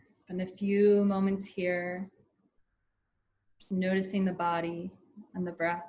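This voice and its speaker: A woman leading a guided meditation in a soothing, unhurried way with long pauses between phrases. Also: on the low side, level tone, dark tone.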